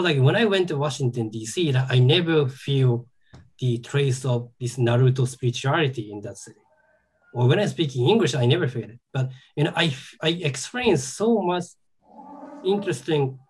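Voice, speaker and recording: A man, a native Japanese speaker with a Japanese accent, giving a seminar talk as an academic. He speaks haltingly, with many "you know" and "like" fillers. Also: fairly high pitch, breathy edge, low-quality recording. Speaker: academic lecturer.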